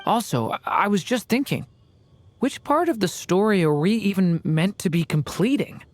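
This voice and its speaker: A woman voicing a gentle young boy in a video game, earnest, thoughtful and hesitant. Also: mid-range voice, expressive, moderate pace, a little hiss or hum, lightly acted. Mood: pensive, earnest.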